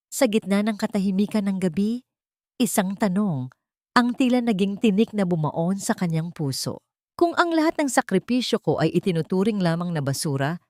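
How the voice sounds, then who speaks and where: A Filipino woman reading a scripted dramatic story in a measured, solemn and expressive way. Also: lowish voice, dry recording, studio quality.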